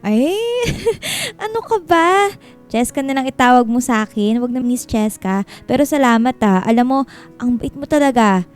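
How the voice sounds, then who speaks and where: A woman's voice: on the high side, animated, moderate pace, faint music behind, lightly acted. An actress playing a bossy, teasing female friend and team organizer with a Filipino accent in a radio drama. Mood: chatty, teasing.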